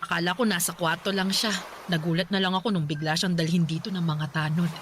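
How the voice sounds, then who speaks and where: A woman's voice: fairly low pitch, fairly even intonation, a little fast, slight background noise, natural voice. A woman acting a worried middle-aged mother with a Filipino accent in a fretful, emotive Tagalog radio drama. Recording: music behind the voice.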